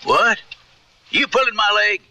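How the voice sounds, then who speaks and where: An actor playing a brash, good-natured old-west cowpoke in a radio drama, drawling colorful slang with an American Western accent. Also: male voice, sits high, a little slow, sharp tone, no echo, far from the mic, heavily acted. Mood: incredulous. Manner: colloquial, drawling cowboy slang.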